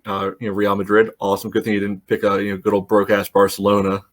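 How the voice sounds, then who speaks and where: A low-voiced man who talks quickly, co-hosting a casual sports podcast. He is wisecracking and teasing, throwing in jokes and quips. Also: completely flat delivery. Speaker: podcast co-host.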